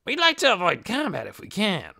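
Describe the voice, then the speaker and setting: A brash, cautious goblin warrior from a fantasy audiobook. The voice is sharp and forceful with a gravelly creak. It leaps up and down as he banters in slangy, taunting phrases. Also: high voice, huge pitch swings, fairly quick, voice pushed up, heavily acted. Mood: wary, firm. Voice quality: hard, creaky.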